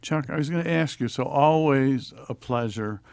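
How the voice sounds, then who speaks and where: A man's voice: medium pitch, moderate pace, gravelly, a little mellow, clear, resonant tone, dry recording. A man hosting a live radio show from a studio, announcing and asking conversational questions. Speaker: radio host.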